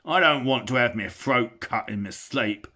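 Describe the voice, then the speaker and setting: Bert, a man doing a loud bullying troll. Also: medium pitch, moderate pace, voice pushed up. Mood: menacing. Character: mean quarrelsome male troll, suspicious and cruel. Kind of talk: audiobook character voice.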